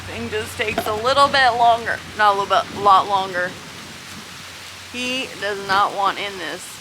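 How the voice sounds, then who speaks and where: A woman homesteader joking wryly to the camera in an unhurried voice. She is recorded outdoors, with clear rain noise behind her. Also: medium pitch. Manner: wry, joking. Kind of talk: casual vlog chat.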